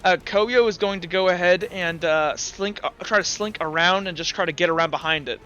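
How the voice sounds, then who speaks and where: A young man running a tabletop game as game master, narrating scenes and explaining rules in a halting way with many fillers and restarts. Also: high-pitched, pushed and bright, smooth.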